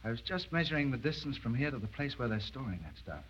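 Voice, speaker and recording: An actor playing a resolute, self-sacrificing army captain in a 1930s radio drama, clipped and decisive. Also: male voice, mid-range voice, big pitch swings, fast talker, a bit bright, husky. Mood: determined. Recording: dull 1930s radio broadcast recording.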